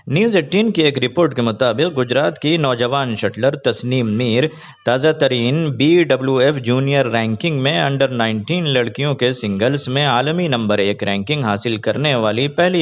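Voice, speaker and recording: A man reads scripted news in a formal, measured announcer manner. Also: medium pitch. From India. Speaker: news presenter. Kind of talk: scripted news reading in formal Urdu register. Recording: dull lo-fi online video audio.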